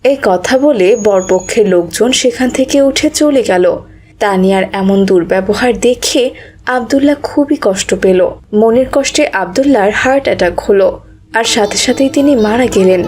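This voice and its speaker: Husky woman narrating a children's story. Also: medium pitch, normal speed, darkish tone.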